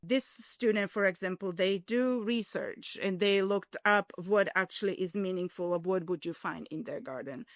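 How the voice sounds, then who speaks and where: A printmaking professor from Slovakia who speaks English with a Slovak accent and non-native grammar, talking earnestly in an interview. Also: female voice, medium pitch, normal speed, few pauses, no room sound, clean studio sound. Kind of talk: interview and classroom talk.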